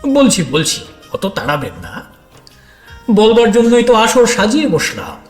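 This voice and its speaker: Man, slow dramatic storytelling, very varied pitch, roomy echo. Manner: expressive, addresses listeners directly. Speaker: audio story narrator. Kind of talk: dramatic first-person scripted storytelling. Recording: roomy echo with music underneath.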